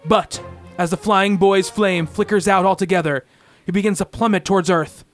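A man's voice: high voice, steady tone, normal speed. Grandiose man narrating over faint music. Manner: grandiose, theatrical. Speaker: radio play narrator. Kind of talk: dramatic comic-book radio narration.

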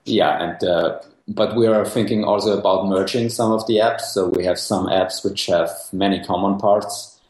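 A young man from Austria with a very low voice, explaining technical work in a casual interview. He hesitates often, restarting sentences and filling pauses. The recording carries a noticeable echo of the room. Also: steady tone, darkish tone. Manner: hesitant, many restarts and fillers. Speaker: guest developer. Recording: echoey room.

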